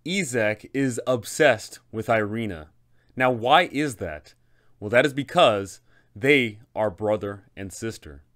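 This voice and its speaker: A man in his thirties hosting a tabletop game guide, telling the story in an emphatic, deliberate way. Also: on the low side, fairly bright tone. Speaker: host and game master giving advice.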